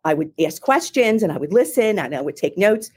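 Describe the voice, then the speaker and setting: A middle-aged woman with a Philadelphia accent, telling her career story in an interview in a chatty, animated way with many restarts. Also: medium pitch, a little fast, slightly rough. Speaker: marketing executive, guest. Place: home or office in Ohio, remote call.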